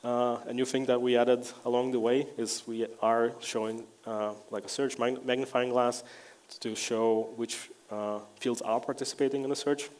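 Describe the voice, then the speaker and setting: A man whose first language is Lithuanian gives a technical conference talk with a live demo, speaking relaxed and wry with frequent "uh" fillers and restarts. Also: medium pitch, moderate pace. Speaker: conference presenter. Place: conference hall.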